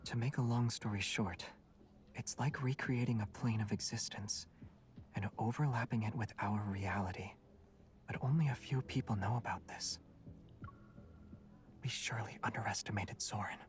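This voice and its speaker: Calm, guarded young man, played by an actor. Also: fairly low pitch, distant mic, a little hiss or hum, close to an everyday voice. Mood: serious. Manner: calm, measured. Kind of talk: video game voice acting.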